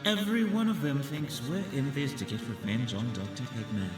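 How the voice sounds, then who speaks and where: A man's voice: medium pitch, sing-song, brisk, natural voice. A man playing a scheming, condescending villain doctor in a comic dub, speaking in pompous, articulate monologue. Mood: smug. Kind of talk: theatrical comic dub acting. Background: music.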